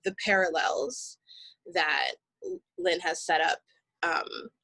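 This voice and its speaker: A woman speaks slowly and thoughtfully, pausing to find her words. Her voice is fairly low but bright and forward, recorded very dry, in a reflective interview style. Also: gravelly, no room sound, low-quality recording. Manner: thoughtful, halting, searching for words. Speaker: stage actor in an audio play.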